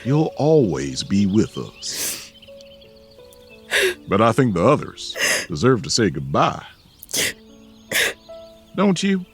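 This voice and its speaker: An actor playing a gentle, folksy man who speaks softly and slowly and calls people darling. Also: lowish voice, wildly swooping pitch, soft background music, heavily acted. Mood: tender. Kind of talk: audio drama acting.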